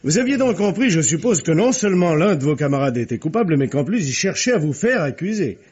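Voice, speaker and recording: An actor playing a formal, sceptical examining magistrate in a radio mystery, questioning with authority. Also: male voice, on the high side, sing-song, fast, a little mellow, slightly rough. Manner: formal, interrogating, clipped. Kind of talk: French radio mystery drama acting. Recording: dull, muffled old radio recording.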